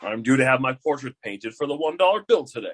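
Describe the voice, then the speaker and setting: A man's voice: mid-range voice, lively intonation, fast, slightly rough. A man playing an impatient, self-important president and client in a comic radio play, blustery and folksy.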